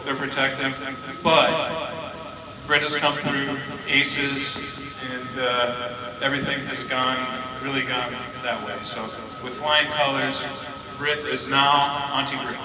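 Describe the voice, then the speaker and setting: A man's voice: mid-range voice, flat delivery, slow pace. Man, wedding toast, rambling and jokey, rhyming verse, distant, crowd chatter. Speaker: guest giving a toast. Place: reception hall. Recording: echoey hall from far off, crowd.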